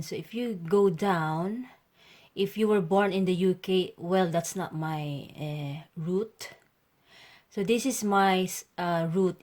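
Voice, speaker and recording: Chatty woman vlogger, lowish voice. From Philippines. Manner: chatty, addresses viewers as guys, frequent okay and so. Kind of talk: casual tutorial vlog.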